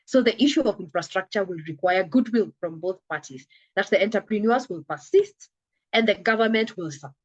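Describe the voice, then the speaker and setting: A woman's voice: medium pitch, expressive, fairly quick, slightly rough, dry recording, dull audio. A woman, a telehealth company founder, talking earnestly in an interview, her voice a little scratchy from a coming cold. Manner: earnest, emphatic, acts out little dialogues. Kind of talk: conversational interview.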